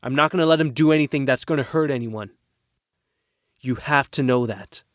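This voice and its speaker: A man's voice: mid-range voice, moderate pace, dry recording, studio quality, lightly acted. A man playing a loyal, defensive working-class teenage boy in an audio drama, speaking earnestly and firmly.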